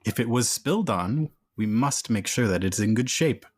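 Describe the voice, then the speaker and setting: A man voicing a composed female art conservator in a tabletop game, speaking in a measured, polite, formal way. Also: mid-range voice, expressive, husky, no room sound. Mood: politely insistent.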